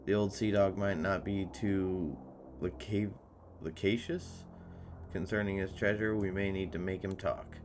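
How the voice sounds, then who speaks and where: A man's voice: deep voice, fairly even intonation, unhurried, a little mellow, slight background noise. A man voicing a calculating, businesslike boss of a robber gang in a visual novel game. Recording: music behind the voice.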